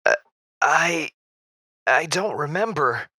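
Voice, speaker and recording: A man acts a middle-aged celebrity guest in a radio drama, with a forceful, very bright and fairly high male voice. He is genial and modest, moving with lively pitch through polite, hesitant answers that betray some unease. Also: slow pace, breathy edge, dry recording, studio quality, full character voice. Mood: flustered, uneasy. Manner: polite, hesitant, nervous chuckles. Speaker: guest on a radio quiz show. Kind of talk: audio drama in radio show style.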